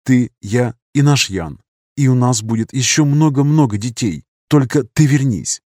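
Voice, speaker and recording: A man voicing a calm, resolute young wife and mother in an audiobook, speaking softly and earnestly in a lifted voice. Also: unhurried, no room sound, clean studio sound. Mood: tender. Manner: soft, earnest, slightly raised pitch. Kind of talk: audiobook character reading.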